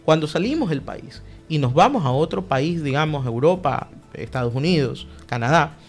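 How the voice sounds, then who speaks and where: Young male guest, fairly high, animated speechmaker, faint background music. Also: normal speed. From Ecuador. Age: young adult. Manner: emphatic, lively, speechmaking. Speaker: political guest, party director. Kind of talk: radio interview answer.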